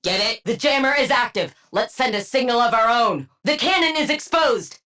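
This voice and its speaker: A woman's voice: fairly high pitch, animated, moderate pace, pushed and bright. A woman playing a haughty, sardonic scientist supervillain in a video game, with clinical, precise diction and dry, condescending wit. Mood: smug, deadpan. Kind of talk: scripted game character voice lines.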